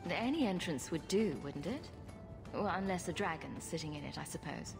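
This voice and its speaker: A woman's voice: lowish voice, animated, moderate pace, gravelly, over music. A woman voicing a confident, sardonic hero in a video game. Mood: wry. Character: confident, wry adult female hero.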